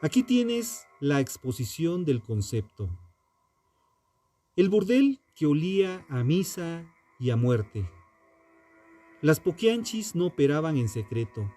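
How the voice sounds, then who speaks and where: Man, higher-pitched voice, very slow pace, solemn dramatic narration, deliberate phrasing, music behind. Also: big pitch swings, mellow. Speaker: true crime podcast narrator. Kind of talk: dramatic scripted true-crime narration. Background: background music.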